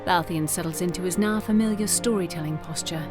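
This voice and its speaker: A solemn woman as storyteller narrates a fantasy epic with measured drama. Her voice is a little low, and music plays clearly behind her. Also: natural voice. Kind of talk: scripted narration for audio drama.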